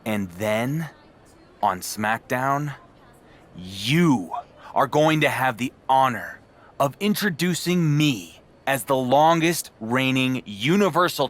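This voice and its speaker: An actor playing a calmly defiant male wrestling champion in a game cutscene, speaking in a smug, deliberate way. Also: mid-range voice. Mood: smug taunting. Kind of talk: scripted wrestling drama cutscene.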